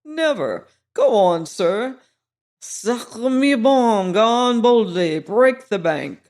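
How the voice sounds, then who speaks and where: A man voicing a boisterous old French ex-soldier with a hoarse voice and a French accent, effusive and flattering. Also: high-pitched, slow, voice pushed up, heavily acted.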